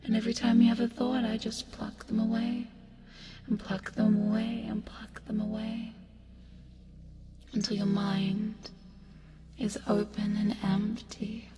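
A woman's voice: fairly high pitch, little pitch movement, over loud music, lightly acted. A woman playing an eerie yet soothing sleep paralysis demon, whispering breathily and coaxingly in an ASMR hypnosis roleplay. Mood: soothing, possessive. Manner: breathy whispering, slow, coaxing, drawn-out words.

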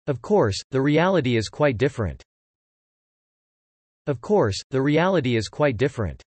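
A man's voice: medium pitch, big pitch swings, normal speed, darkish tone, no room sound. A man narrating an English lesson, reading example sentences and definitions aloud and repeating each one evenly.

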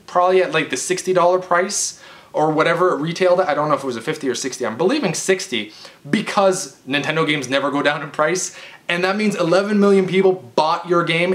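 A man's voice: a little high, a bit bright. A man, giving an exasperated, emphatic off-the-cuff rant to camera, often stammering and restarting. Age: 20s. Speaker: video game vlogger. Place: living room, indoors. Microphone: webcam or camera at about arm's length.

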